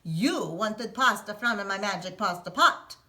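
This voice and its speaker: A woman voicing a wise old village witch who speaks in a measured, firm way in a read-aloud storybook. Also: mid-range voice, expressive, pushed and bright.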